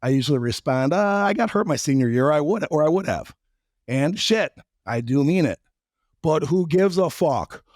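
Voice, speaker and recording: A male podcast host whose voice is raspy from a recent trip, a little higher than most men. He talks bluntly and loosely, swears for effect and teases his guest. The sound is dry and close. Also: expressive, normal speed, a little mellow, dry recording. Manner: blunt, profane, joking. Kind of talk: casual interview and monologue intro.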